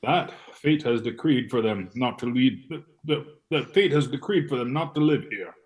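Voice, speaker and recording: A man playing a meek, wistful hanger-on in an amateur play reading, in a plaintive, deliberate manner. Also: medium pitch, animated, a little mellow, close to an everyday voice. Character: meek, wistful male hanger-on in rural Russia.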